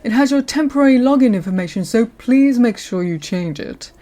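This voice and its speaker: A woman playing a courteous senior lawyer in an audio roleplay, speaking in a polite, measured, professional way to the listener. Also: fairly high pitch, animated, mellow, lightly acted. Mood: polite, businesslike. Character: courteous senior female lawyer showing a newcomer around.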